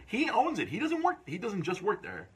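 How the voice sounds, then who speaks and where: Loud joking man hosting a live stream. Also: high-pitched, wildly swooping pitch, normal speed, breathy edge. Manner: loud, joking, plugs events. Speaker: podcast host. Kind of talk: casual live-stream chat. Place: comic book store.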